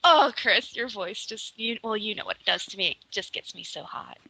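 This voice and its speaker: A woman on a phone call, gushing and flirty with a breathy manner. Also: medium pitch, lively intonation, normal speed, pushed and bright, dry recording. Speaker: prank caller in an archived phone call.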